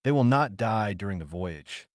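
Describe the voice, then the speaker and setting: A man narrating as an earnest male scientist in a storybook biography. The voice is low and lively in intonation, with formal, assured phrasing. It sounds resolute and sure of its claims. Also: normal speed, no room sound, studio quality, close to an everyday voice. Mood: delighted. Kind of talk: quoted dialogue in an audiobook biography.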